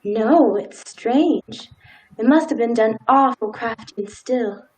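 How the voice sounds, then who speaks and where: A woman's voice: on the high side, slow, a little mellow, low-quality recording. A woman in a radio play acting a timid, dutiful, law-abiding small-town wife with hesitant, folksy old-fashioned speech. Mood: uneasy.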